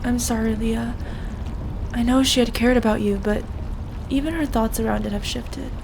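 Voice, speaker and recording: A woman's voice: fairly high pitch, steady tone, moderate pace, vocal fry. A woman playing a concerned young friend in an audio roleplay, speaking in an earnest, questioning way. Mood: sympathetic. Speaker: voice actor. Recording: noisy, water and boat ambience.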